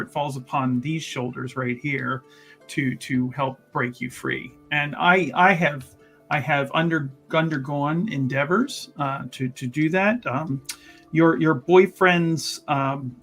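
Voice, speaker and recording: A player voicing a flirtatious, self-important would-be rescuer in a tabletop fantasy game, with halting, flowery, grandiose wording. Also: medium pitch, a bit bright. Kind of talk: improvised in-character tabletop roleplay.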